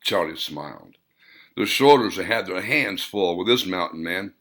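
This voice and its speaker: Deliberate male audiobook narrator, bright tone. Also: low voice, animated. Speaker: author reading his own novel.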